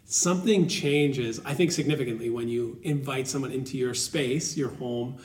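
A man's voice: medium pitch, moderate pace, a little mellow, breathy edge. A man hosting a podcast, moving between scripted introduction and casual conversation. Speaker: podcast host.

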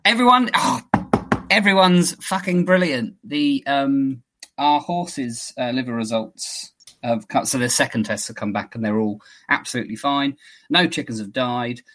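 A man's voice: medium pitch, normal speed, fairly bright tone. A man hosting a podcast, chatting casually, laughing often and swearing freely. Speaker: podcast host. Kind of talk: casual chat.

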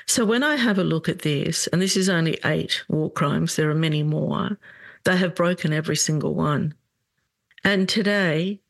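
A middle-aged woman hosting a solo commentary podcast, talking in an earnest, indignant way with halting restarts. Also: deep voice, vocal fry, dry recording, clean studio sound. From Australia. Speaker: podcast host.

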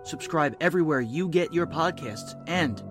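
A man delivers a scripted podcast promo. His voice sits a little high, with music clearly playing behind him. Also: animated, moderate pace.

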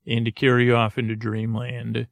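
A man with a creaky voice hosting a bedtime podcast, rambling in a halting, meandering monologue that trails off mid-thought. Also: mid-range voice, monotone, dry recording. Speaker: podcast host.

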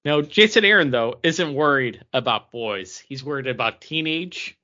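A man's voice: medium pitch, expressive, moderate pace, pushed and bright, highs cut off. A man co-hosting a podcast, talking casually with friends. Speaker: podcast co-host. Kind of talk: casual banter.

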